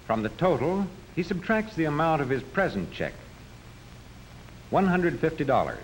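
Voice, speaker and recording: A man's voice: on the high side, big pitch swings, moderate pace. A man narrating a 1940s educational film from a script, explaining step by step in a didactic manner. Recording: noisy 1940s film soundtrack.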